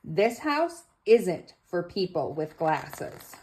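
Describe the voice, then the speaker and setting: A woman's voice: lowish voice, big pitch swings, extremely slow, darkish tone, slight background noise, heavily acted, poor audio. A woman voicing a possessive, bossy little boy in a storybook, with emphatic delivery.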